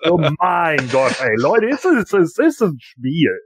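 A man co-hosting a podcast, chatting casually and colloquially with halting asides. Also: fairly high pitch, sing-song, slightly rough, dry recording, studio quality. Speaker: podcast co-host. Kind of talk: casual anecdotal chat.

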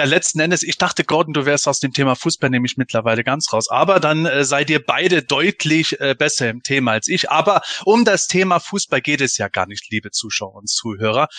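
A man's voice: on the high side, bright, forceful tone, no room sound. A man hosting a casual live fan podcast in a chatty, bantering way. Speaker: podcast host.

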